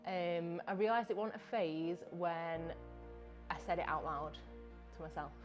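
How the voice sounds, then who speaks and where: A woman-voiced non-binary person from Manchester answering softly, often trailing off. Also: fairly low pitch, unhurried, highs cut off, soft background music. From Manchester, England. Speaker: interviewee. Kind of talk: casual interview answers.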